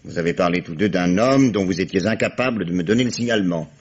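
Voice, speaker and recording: An actor playing a sardonic, authoritative police commissioner in a 1950s-style French radio mystery, speaking in a measured, methodical way. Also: male voice, low voice, breathy edge. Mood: dry, firm. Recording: dull, muffled vintage-style radio sound.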